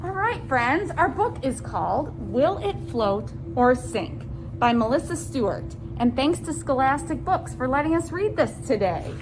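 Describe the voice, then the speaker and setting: A woman presenting a children's science storytime, reading aloud deliberately and speaking to young listeners as friends with simple questions. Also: sits high, big pitch swings, music in the background, rough recording.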